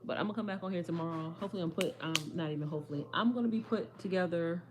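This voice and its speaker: Chatty slightly hoarse woman. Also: fairly low pitch, rapid-fire, crowd noise. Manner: chatty, rambling, addresses viewers as y'all. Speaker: vlogger. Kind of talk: casual livestream chat.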